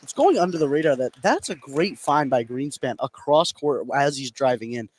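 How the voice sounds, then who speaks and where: A man's voice: medium pitch, animated, moderate pace, a little mellow. A man giving casual, explanatory color commentary during a live basketball game. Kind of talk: live basketball analysis, conversational.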